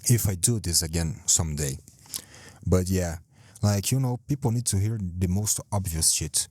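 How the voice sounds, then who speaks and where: A man's voice: sits low, normal speed, a little mellow, no echo. A man hosting a solo podcast from a home setup, rambling and self-deprecating, swearing often and repeating himself, speaking close to the mic. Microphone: close home mic, sometimes too close.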